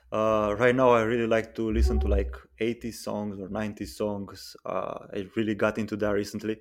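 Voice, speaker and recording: A man in his twenties talks easily as a podcast guest, his voice sitting on the lower side. He pauses often with ums and uhs, unhurried and casual. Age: 20s. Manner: relaxed, hesitant with many fillers. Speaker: guest, freelance software engineer.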